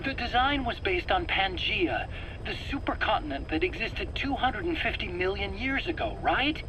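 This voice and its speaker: An actor playing a nervous, eager scientist under interrogation in a video game, self-justifying in an earnest, explanatory, pleading way. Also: male voice, sits high, very animated, normal speed. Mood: defensive, pleading. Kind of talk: dramatic video game voice acting. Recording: faint background noise, cassette tape interrogation.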